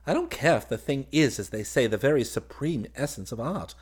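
A man's voice: medium pitch, expressive, a little fast, darkish tone, husky, pitched above the performer's own voice. A man voicing a boyish, happy young army officer in a story, with eager, exclamatory chatter. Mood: vehement. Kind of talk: dramatised audiobook reading.

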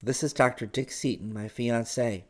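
A bubbly young woman from an adventure audiobook, acted out by a male narrator in a lifted, higher voice. She is affectionate and takes charge, chattering along eagerly. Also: steady tone, moderate pace, close to an everyday voice. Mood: proud, sociable. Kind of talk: audiobook character dialogue.

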